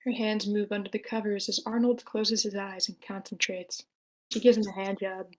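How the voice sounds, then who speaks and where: A woman's voice: mid-range voice, flat delivery, moderate pace, low-quality recording. A woman reading out scripted stage directions as the narrator of a screenplay table read.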